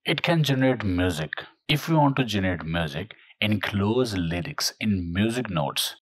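A man narrating a software tutorial in a steady, scripted, explanatory way. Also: fairly low pitch, normal speed, slightly rough, no echo, clean studio sound.

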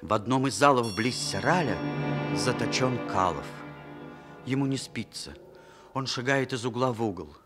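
A man's voice: mid-range voice, animated, moderate pace. A man narrating a scripted radio play as its storyteller. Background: music.

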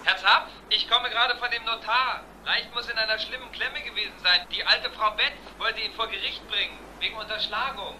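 An actor playing an urgent police assistant reporting over a radio link in a crime radio play. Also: male voice, high-pitched, brisk, hardly any pauses, bright, forceful tone, low-quality recording. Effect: radio or telephone filter. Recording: thin radio link, faint noise.

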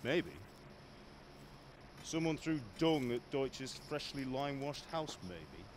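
A man's voice: mid-range voice, sing-song, slow, a little hiss or hum. An actor playing a stern, proud middle-aged blacksmith father in a video game, plain-spoken and admonishing. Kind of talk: video game cutscene acting. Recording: game music behind the voice.

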